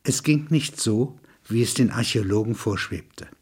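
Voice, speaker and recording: A man reading scripted literary prose in a measured, sober manner, with a husky, rough-edged voice. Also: fairly low pitch, darkish tone. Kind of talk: scripted narration of a literary audio piece.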